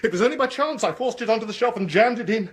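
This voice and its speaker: A man's voice: very high voice, lively intonation, fast talker, husky, full character voice. An actor playing a haunted, obsessive British man in a radio horror drama, stammering and prone to hysterical urgency. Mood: frantic. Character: haunted, obsessive man gripped by mounting dread. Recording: noisy old radio drama broadcast.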